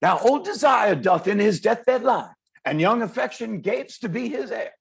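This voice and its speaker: A man playing a brash, streetwise poetic performer with a gravelly, husky voice, swaggering and declamatory in a table read. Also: high-pitched, expressive, moderate pace, heavily acted. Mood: solemn, declamatory. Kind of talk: dramatic table read, slang and verse. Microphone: video call. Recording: video call.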